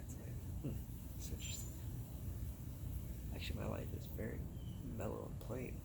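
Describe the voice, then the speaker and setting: A man's voice: lowish voice, fairly even intonation, moderate pace, vocal fry. A man talking in a rambling, casual monologue, musing about God and everyday life. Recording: loud background noise.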